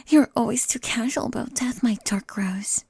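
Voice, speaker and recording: A woman playing a jealous, possessive pirate captain in an ASMR roleplay, taunting and sultry with breathy, husky whispers. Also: fairly high pitch, expressive, unhurried, a little mellow, no echo, exaggerated voice. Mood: intense, knowing.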